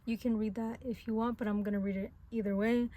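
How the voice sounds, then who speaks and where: Gentle, higher-voiced tarot reader woman. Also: flat delivery, fast. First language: not English. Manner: gentle, reassuring, hesitant with many fillers. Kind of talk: casual pick-a-pile tarot reading.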